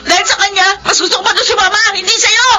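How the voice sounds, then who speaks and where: A woman's voice: extremely high pitch, fairly even intonation, rapid-fire. A woman playing a hurt, rebellious Filipino teenage daughter in an emotional radio drama. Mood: defiant shouting. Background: prominent music.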